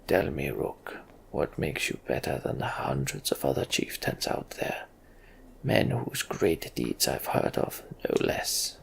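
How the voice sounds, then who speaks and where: A man voicing a gruff, proud and weary old human king in a storybook fantasy game. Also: extremely low pitch, lively intonation, measured pace, a little hiss or hum, voice pushed down, exaggerated voice. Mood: stern, guarded.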